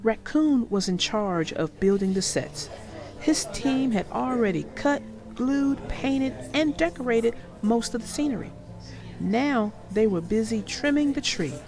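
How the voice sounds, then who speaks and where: Slow-paced woman reading to children. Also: fairly high pitch, expressive, music in the background. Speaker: children's story channel narrator. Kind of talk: read-aloud storytelling for young children.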